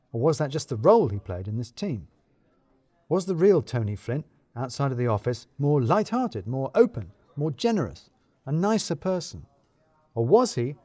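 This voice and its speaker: A man narrating a scripted first-person story in a wry, dryly comic way with deliberate phrasing. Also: mid-range voice, dark tone, no echo.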